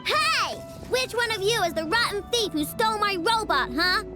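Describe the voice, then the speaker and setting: A woman's voice: extremely high pitch, lively intonation, moderate pace. A woman voicing a brash, hot-tempered young girl inventor in a video game cutscene, with a childlike voice. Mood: indignant. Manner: brash, outspoken. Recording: music behind the voice.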